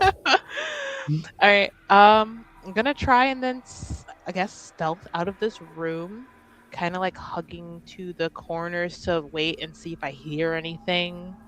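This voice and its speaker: A tabletop roleplaying player improvising casually in character, halting at times and easily given to giggles. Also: medium pitch, a bit bright, a little hiss or hum, low-quality recording. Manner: giggly, breaks into laughter.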